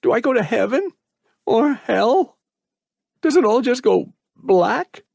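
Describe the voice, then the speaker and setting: A man voicing a frightened teenage boy trapped in a cage, high and tearful with a cracking voice. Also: animated, moderate pace, darkish tone, no room sound, clean studio sound. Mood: terrified.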